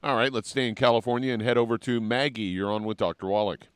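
A man on a radio show quickly and briskly handing the next caller to the host. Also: mid-range voice, steady tone, clear, resonant tone, no room sound, clean studio sound. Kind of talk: radio call screening.

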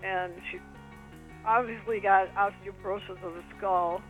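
A man advising on a radio show, listing supplements in a steady run. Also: high-pitched, normal speed, phone-quality sound, soft background music.

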